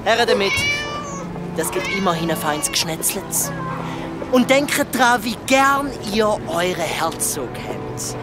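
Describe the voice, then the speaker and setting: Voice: mid-range voice, big pitch swings, slow, over loud music. An actor with a Swiss accent playing a bullying, smug guard captain in a children's audio drama, barking and commanding. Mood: smug menace.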